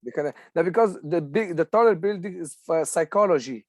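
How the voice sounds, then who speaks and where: A man's voice: fairly high pitch, animated, brisk, no echo, studio quality. A man in his forties from Morocco, an adult English student speaking hesitantly with many fillers and restarts. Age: 40s. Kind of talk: casual conversation.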